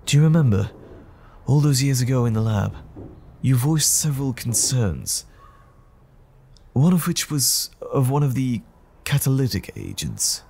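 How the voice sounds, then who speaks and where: A man playing a troubled, apologetic gentleman scientist in an audio roleplay drama, speaking in a formal, halting, anxious way. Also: medium pitch, sing-song, gravelly, husky, heavily acted. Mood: regretful, earnest.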